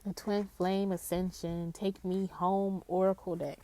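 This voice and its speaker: A woman's voice: mid-range voice, little pitch movement, mellow, a little hiss or hum, rough recording. A woman reading tarot-style cards in a casual spiritual chat, with a nasal, congested voice, often checking in with the listener. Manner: slow and drawn-out, with frequent "okay?" check-ins. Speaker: psychic tarot reader.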